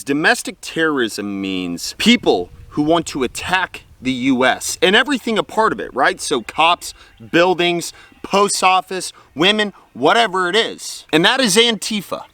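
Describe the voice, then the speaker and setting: A man's voice: a little high, pushed and bright, no room sound. An American man giving opinionated political commentary as a host, blunt, indignant and colloquial in manner.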